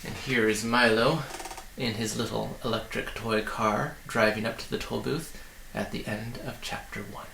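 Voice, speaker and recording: A man reading a children's story aloud in an expressive, deliberate way, with friendly asides to the listener. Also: on the low side, vocal fry, roomy sound, background noise. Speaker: storybook reader.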